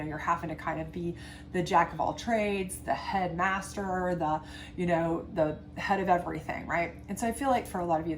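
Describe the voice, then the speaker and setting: A woman's voice: lowish voice, slight background noise. A congested woman giving a chatty, reassuring tarot love reading, full of fillers and asides. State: congested from a sinus infection. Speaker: tarot reader. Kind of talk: casual tarot reading to camera.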